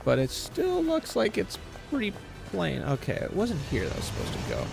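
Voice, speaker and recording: A man's voice: sits high, wildly swooping pitch, moderate pace, creaky. An American man in his late thirties chatting casually as he live streams a game, often distracted and thinking aloud. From west coast of the United States. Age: late 30s. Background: video game music.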